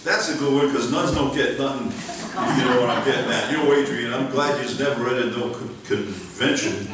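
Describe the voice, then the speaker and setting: A man's voice: medium pitch, normal speed, lightly acted. Guy hamming up a dumb cocky bowler, slangy, mixes up words, far off in a super echoey room. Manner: streetwise slang, garbled malapropisms, hesitant when flirting. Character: dim, swaggering male pro bowler, tough-guy boxer parody. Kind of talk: live amateur old-time radio comedy. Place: library meeting room, live show. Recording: very echoey, distant mic, room noise.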